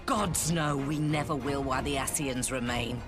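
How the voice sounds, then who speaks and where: A woman's voice: rumbling low, moderate pace, music in the background. A woman playing a stern, resolute admiral in a video game cutscene, with authoritative, old-fashioned formal speech.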